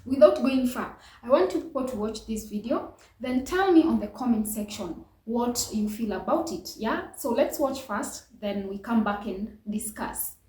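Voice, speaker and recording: A woman's voice: fairly high pitch, expressive, normal speed, hardly any pauses, a little mellow, roomy sound, rough recording. A woman hosting a reaction channel, talking earnestly and reflectively with many fillers and restarts. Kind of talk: casual reaction commentary.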